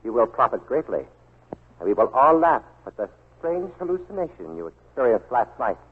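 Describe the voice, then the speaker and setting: Husky old Japanese baron, coolly formal. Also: male voice, medium pitch, sing-song. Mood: coolly polite menace. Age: older. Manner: measured, formal, coolly polite. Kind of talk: 1940s radio acting. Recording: scratchy narrow-band 1940s radio recording.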